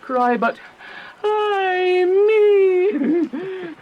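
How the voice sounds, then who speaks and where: Boisterous young man, very high-pitched. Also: expressive, drawn-out words, narrow, tinny audio, heavily acted. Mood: mocking. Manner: theatrical, teasing, calling loudly. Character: mocking, boisterous young friend calling out. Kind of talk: Shakespearean radio drama acting.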